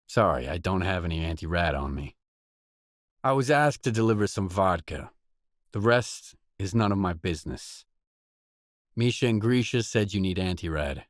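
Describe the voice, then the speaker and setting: A wary stalker for hire from a video game, speaking in a lowish male voice. He is blunt and terse, keeping things dry and matter-of-fact. The recording is very dry, with no room sound around the words. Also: no room sound. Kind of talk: scripted game voice lines.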